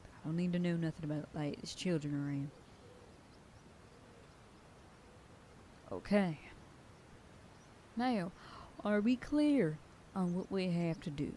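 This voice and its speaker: A woman's voice: fairly low pitch, a little slow, pauses often, dark tone, slight background noise, lightly acted. A woman voicing a bossy female werewolf sheriff who lectures her pack in an ASMR roleplay, sometimes dropping to a whisper. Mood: stern warning. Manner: stern, commanding, lectures a group. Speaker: voice actor.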